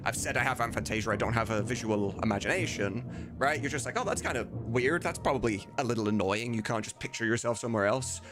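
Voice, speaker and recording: A man's voice: medium pitch, fast. A man chatting casually on a livestream, talking candidly in a rambling way and swearing now and then. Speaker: streamer. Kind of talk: casual livestream chat answering viewers. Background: music.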